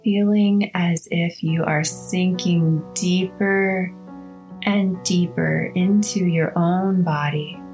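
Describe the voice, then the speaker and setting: A woman hosting a guided meditation, speaking in a soothing, measured way with long pauses between short phrases. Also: on the low side, fairly even intonation, a little mellow. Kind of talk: slow guided meditation narration. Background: instrumental music.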